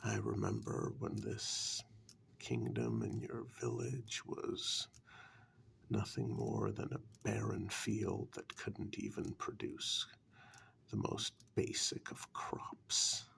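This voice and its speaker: A man voicing an ancient, powerful warlock in a soft-spoken ASMR fantasy role-play, gravelly and half-whispered, calm, menacing and condescending, with long deliberate pauses. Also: sits low, exaggerated voice. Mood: ominous, proud.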